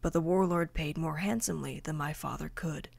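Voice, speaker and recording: A woman's voice: low voice, fairly quick, creaky, darkish tone, no echo, full character voice. A woman playing a haughty, vengeful royal heir and swordmaster, speaking formally in a fantasy audio drama. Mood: grave, bitter.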